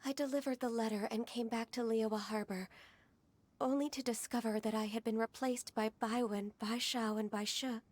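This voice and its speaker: A woman voicing a gentle, dutiful half-divine secretary in a game, speaking in a soft, measured, wistful way. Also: on the high side, steady tone. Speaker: game character.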